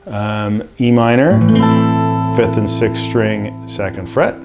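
Relaxed man teaching guitar while strumming. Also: fairly low pitch, slow. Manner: relaxed, rambling with many fillers. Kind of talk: casual guitar lesson. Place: home music studio, indoors. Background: acoustic guitar playing.

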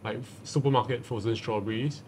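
Man, 30s, relaxed kitchen chat, lapel mic, faint hum. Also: medium pitch, a little fast. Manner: relaxed, explains with many fillers. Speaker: chef showing the recipe. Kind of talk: casual cooking chat. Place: commercial kitchen, indoors.

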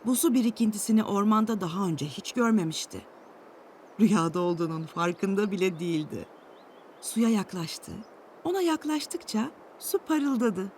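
A woman reading a scripted children's storybook tale with an expressive storytelling delivery. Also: mid-range voice, moderate pace, slight background noise.

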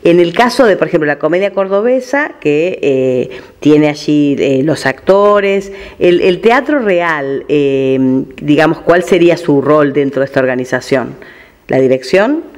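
A man's voice: a little high, lively intonation, unhurried. An Argentine man hosting a conversational radio interview, asking and commenting as he goes.

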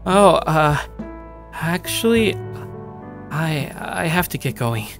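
A man's voice: on the high side, lively intonation, slow, creaky, a bit bright, soft background music, heavily acted. A man playing a shy, awkward young loner gamer, narrating his own story in a self-deprecating way. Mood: nervous, flustered. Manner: self-deprecating, stammering when talking to others. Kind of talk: first-person story narration with acted dialogue.

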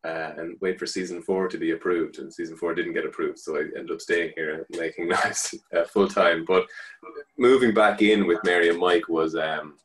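A laid-back man in his thirties, a knife maker, chats casually about his work. His voice sits low. He speaks over a video call through earphones from a room at home. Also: steady tone, moderate pace, a bit bright, low-quality recording. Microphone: earphone headset on video call.

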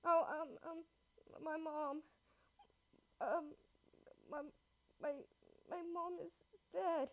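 Woman playing a high-pitched, distraught young daughter. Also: steady tone, very slow, pauses often, full character voice. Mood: shaken, upset. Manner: pleading, stammering, repetitive. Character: distraught young daughter grieving her dead mother. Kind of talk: amateur improvised roleplay drama. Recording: muffled lo-fi audio.

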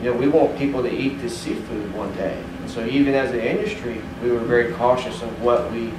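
A man's voice: medium pitch, steady tone, a little fast. Man answering in an echoey conference room. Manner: rambling with many "you know" fillers. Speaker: panelist for the fishing industry. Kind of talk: panel Q&A answer. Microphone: distant room mic. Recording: echoey room, distant mic, air-conditioning hum.

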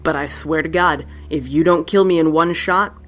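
A woman's voice: low-pitched, normal speed, a bit bright, soft background music. A woman playing Tessa, a tough, sarcastic and profane survivor, in a fan audio drama. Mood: confrontational.

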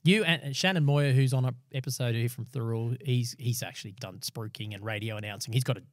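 A middle-aged man hosting a podcast, chatting casually and warmly with his guest in a small studio. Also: mid-range voice, fairly quick, dry recording. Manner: chatty and complimentary. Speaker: podcast host. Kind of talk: casual chat. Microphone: studio mic on boom arm with headphones.

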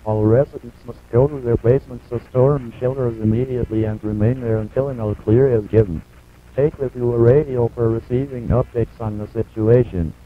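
A man's voice playing an impersonal automated emergency announcer, reading warnings in a flat, formal, measured way. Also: medium pitch, close to an everyday voice. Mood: impersonal, grave. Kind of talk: scripted emergency broadcast warning. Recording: muffled lo-fi VHS broadcast audio.

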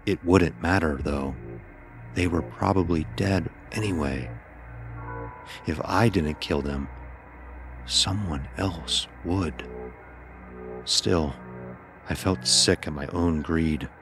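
A low-voiced man tells a dark first-person story over faint music. His delivery is grave, measured and dramatic, as in an audio horror tale. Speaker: narrator.

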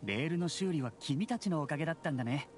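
Man playing a fussy young engineer, animated delivery. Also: a little high, fast talker, soft background music, lightly acted. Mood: polite, composed. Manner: articulate, condescending. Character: fussy, sarcastic young bespectacled engineer brother. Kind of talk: anime game voice acting.

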